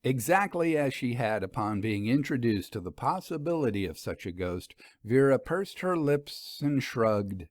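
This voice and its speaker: A man's voice: medium pitch, very animated, unhurried, hardly any pauses, no room sound, studio quality. A man reading a mystery story aloud as scripted narration.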